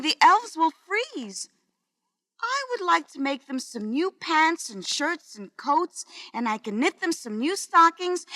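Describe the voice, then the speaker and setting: A woman's voice: high voice, fairly bright tone, no echo, studio quality, voice pushed up, exaggerated voice. A woman voicing a shoemaker's kindly, grateful wife in a children's storybook, caring and eager.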